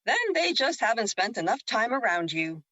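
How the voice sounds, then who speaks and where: A woman's voice: a little high, big pitch swings, normal speed, sharp tone, no echo, muffled recording, exaggerated voice. A woman playing the Moon as a teasing, wise and reassuring spirit in an audio drama, with a playful, counselling way of talking.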